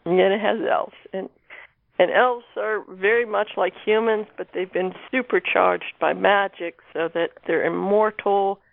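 A woman's voice: on the low side, gravelly, no room sound. A woman author being interviewed, talking casually about her own work. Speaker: guest author discussing own novel. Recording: narrow phone-like line.

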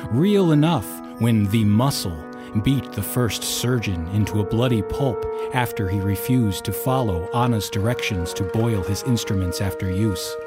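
A man narrating a historical war tale for an audio drama in a grave, wry storytelling manner. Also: lowish voice. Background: music under the narration.

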